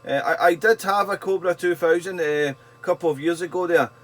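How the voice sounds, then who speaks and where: A man's voice: high voice, fairly quick. A man chatting casually as a radio operator, often repeating his phrases for emphasis. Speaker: radio operator and YouTube channel host. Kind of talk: casual ham radio chat.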